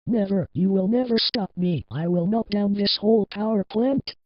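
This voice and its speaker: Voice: mid-range voice, normal speed, close to an everyday voice. A performer voicing a defiant, villainous four-armed female fighter in an amateur fan drama. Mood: menacing defiance. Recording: muffled lo-fi, loud noise.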